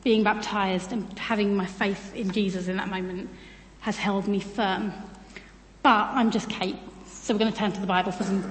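A British woman giving an informal, chatty church talk in a self-deprecating way. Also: medium pitch. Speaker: church speaker presenting on baptism. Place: large church room, speaking to a congregation. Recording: echoey hall with long reverb.

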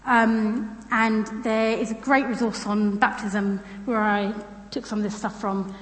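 A woman's voice: medium pitch, little pitch movement, a little slow. A British woman giving an informal, chatty church talk in a self-deprecating way. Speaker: church speaker presenting on baptism. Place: large church room, speaking to a congregation. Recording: echoey hall with long reverb.

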